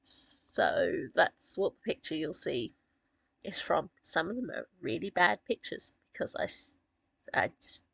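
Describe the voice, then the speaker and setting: Croaky, husky woman chatting. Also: on the low side, expressive, unhurried, a bit bright, no room sound. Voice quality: gravelly, husky. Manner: chatty, rambling with fillers and small laughs. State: croaky from a sore throat. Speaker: author discussing her characters. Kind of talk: casual chat.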